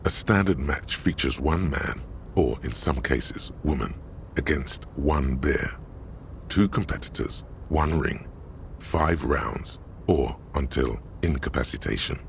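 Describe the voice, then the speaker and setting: An actor playing the dry, erudite inner voice of a detective's mind in a video game, measured and drily ironic, with a gravelly tone. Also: male voice, a little hiss or hum, full character voice. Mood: drily earnest. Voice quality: very deep, gravelly. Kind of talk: scripted narration of a video game. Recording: music behind. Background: game music.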